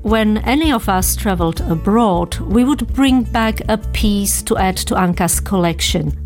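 A woman's voice: medium pitch, animated, measured pace, darkish tone. Woman hosting a radio program over music. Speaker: radio host. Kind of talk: radio presenting and interviewing.

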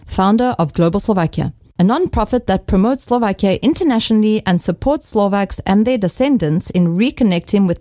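A woman's voice: mid-range voice, warm tone, no room sound, studio quality. A woman hosting a radio program, presenting scripted introductions and interviewing guests. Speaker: radio host.

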